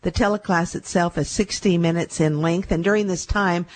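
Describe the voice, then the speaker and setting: A middle-aged woman hosts a call-in radio show, talking in a loose, chatty way and circling back over her points. Her voice sits a little low and still carries traces of a recent bout of laryngitis. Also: fairly even intonation, normal speed, a little mellow. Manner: chatty, repeats herself with fillers. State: recovering from laryngitis. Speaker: radio show host and medical intuitive. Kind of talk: casual radio monologue.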